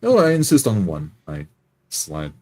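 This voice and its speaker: A man improvising first-person narration as a tabletop roleplay player, speaking in a halting, hesitant way. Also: on the low side, huge pitch swings, mellow.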